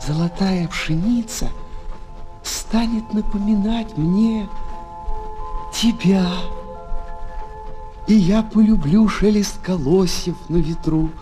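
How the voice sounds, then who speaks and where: A wistful, gentle fox speaks in a high voice with slow, hesitant tenderness. The delivery is that of a 1970s children's radio play, with some music behind it. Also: male voice. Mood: wistful, pleading. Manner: hesitant, tender, expressive explaining. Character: shy, wistful, gentle male fox longing for friendship. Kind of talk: 1970s children's audio drama acting.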